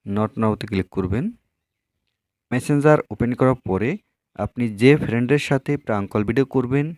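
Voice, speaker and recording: A man's voice: fairly low pitch, darkish tone, dry recording, studio quality. A man hosting a tech tutorial, addressing viewers in an instructional, scripted way with repeated step-by-step phrasing. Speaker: tech tutorial video host.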